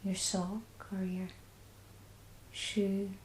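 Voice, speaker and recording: A woman with a Scottish accent guiding a body scan meditation, speaking slowly and soothingly. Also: mid-range voice, level tone, echoey room, slight background noise, low-quality recording. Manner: slow, soft and soothing. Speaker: mindfulness guide.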